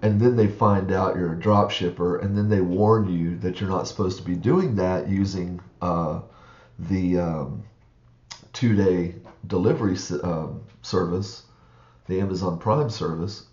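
A man's voice: deep voice, unhurried, a little mellow, roomy sound, lo-fi sound. A man with a froggy, groggy morning voice, rambling through a casual screen-share walkthrough with many fillers. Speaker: online reseller vlogger.

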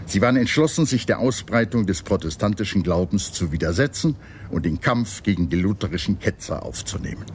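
Male history narrator, low register, measured formal scripted read, noisy background. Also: a little mellow. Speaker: documentary narrator.

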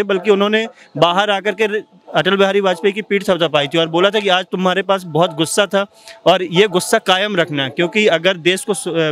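A young man from Madhya Pradesh giving his opinion in a night-time outdoor street interview, talking formally with many pauses. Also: sits high, a bit bright. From Madhya Pradesh, India. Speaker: interviewee, exam aspirant. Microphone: handheld mic.

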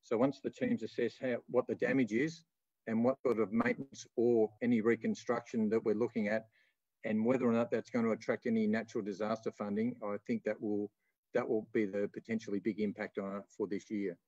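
A man with an Australian accent giving a formal council finance report in a measured, hesitant, explanatory way. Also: fairly low pitch, level tone, dark tone, no room sound. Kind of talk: formal meeting report and answers. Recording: slightly dull meeting audio.

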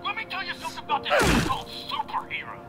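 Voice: very high voice, wildly swooping pitch, fast talker, music in the background, full character voice. An actor playing a blustering, scornful radio host in a video game, ranting pompously against a superhero. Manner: pompous, hectoring. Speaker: phone-in radio show host. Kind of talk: bombastic radio rant.

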